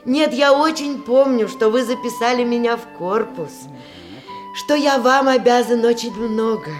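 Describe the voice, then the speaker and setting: An actress playing a gentle aunt in a radio period comedy, reading and pleading in a measured, expressive way. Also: female voice, on the high side, faint music behind, natural voice. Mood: reading aloud.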